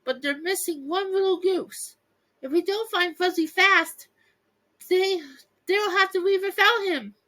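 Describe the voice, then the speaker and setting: An earnest boy leader from a cartoon storybook hands out orders, voiced by a woman. The voice is very high, deliberate and slow-paced. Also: sharp tone, exaggerated voice, rough recording. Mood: urgent. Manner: instructing, deliberate.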